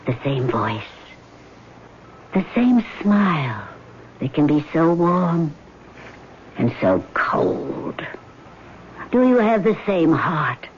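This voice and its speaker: An actress playing a vengeful, weary female ghost in a vintage radio drama, speaking in a haughty, commanding, deliberate way. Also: deep voice, sing-song, exaggerated voice. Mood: brooding, menacing. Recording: muffled vintage radio recording with hiss.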